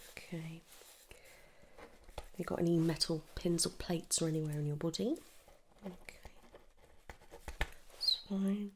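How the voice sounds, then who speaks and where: Whispering, creaky British woman doing ASMR. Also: lowish voice, a little hiss or hum. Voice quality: breathy whisper and hushed soft voice. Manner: gentle, unhurried, reassuring questioning. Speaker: ASMR performer playing a dental receptionist or dentist. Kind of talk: ASMR roleplay, whispered and soft-spoken.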